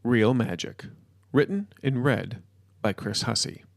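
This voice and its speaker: Gravelly man narrating a short story. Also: mid-range voice, unhurried, no room sound, clean studio sound. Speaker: podcast host and author reading his own story.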